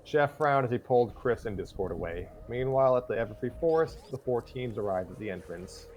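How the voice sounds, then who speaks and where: A man's voice: medium pitch, expressive, a little fast, a little mellow, a little hiss or hum. A man hosting a live story reading, switching between scripted narration and casual chat, sometimes stumbling over his lines.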